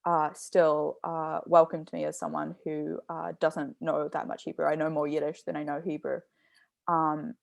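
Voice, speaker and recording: A young woman with a low voice and plenty of gravelly fry talks through her ideas slowly and carefully. The sound is close and dry, with no room around it. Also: little pitch movement, no echo. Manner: reflective, explaining carefully. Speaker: artist in conversation. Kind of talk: thoughtful conversational talk.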